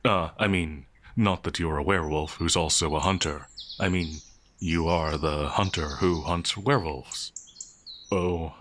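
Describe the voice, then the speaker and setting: A man playing a gentle, anxious werewolf outlaw in a fantasy ASMR roleplay, hesitant, stammering and apologetic. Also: sits low, a little slow, a little hiss or hum. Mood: nervous, flustered. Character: gentle, timid, anxious male werewolf outlaw, easily frightened.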